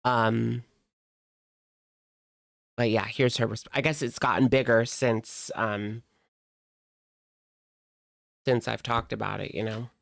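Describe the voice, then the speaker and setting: A reaction streamer talks through commentary in a casual, sardonic, gossipy way. The delivery is unhurried, and the voice is clear and smooth at a medium pitch, with a dry, close sound. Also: steady tone, fairly bright tone, no echo.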